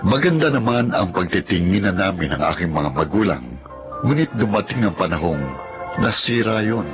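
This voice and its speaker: A male actor plays a respectful, sincere young man. His voice is deep and earnest, in radio drama style, with clear music underneath. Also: lively intonation, moderate pace, natural voice. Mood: reflective. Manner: polite, earnest. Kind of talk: Filipino radio drama acting and first-person narration.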